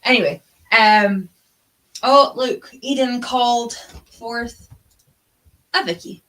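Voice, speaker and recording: A woman's voice: a little high, takes it slowly, bright, forceful tone, rough recording. Chatty, easily sidetracked woman co-hosting a stream. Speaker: co-host of a book readalong live stream. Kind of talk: casual, rambling book discussion chat. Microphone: live-stream video call.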